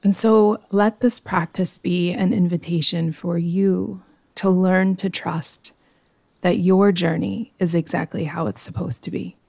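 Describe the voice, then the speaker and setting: A woman teaching yoga nidra, guiding a meditation in a soothing, gentle way with long, unhurried pauses. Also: on the low side, creaky, no echo. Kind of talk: guided meditation.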